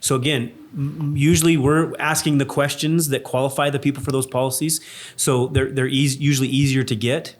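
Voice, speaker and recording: A man's voice: medium pitch, little pitch movement. A man co-hosting an insurance chat, eager and upbeat, steering the conversation from topic to topic. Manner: quick, enthusiastic, steers the topics. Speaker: insurance broker co-host.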